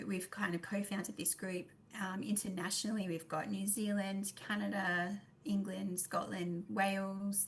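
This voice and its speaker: A woman with an Australian accent and a croaky voice from a recent illness, presenting at a forum with many fillers and throat clearing. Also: mid-range voice, a little hiss or hum, poor audio. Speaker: co-founder of an advocacy group.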